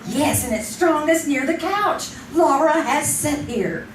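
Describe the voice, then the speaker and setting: An actress playing an intuitive, insistent sleuth's sidekick in an old-time radio style mystery. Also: female voice, sits high, lively intonation, measured pace. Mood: earnest, sure. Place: live theater stage. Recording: distant echoey stage recording, faint noise.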